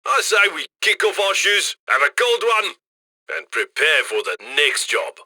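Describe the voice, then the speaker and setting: A man's voice: high-pitched, very animated, a little slow, pushed and bright, no room sound, studio quality, full character voice. An actor playing a cocky, chummy male heist handler in a video game, with a wry, folksy, upbeat manner. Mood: jubilant, chummy. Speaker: mission handler.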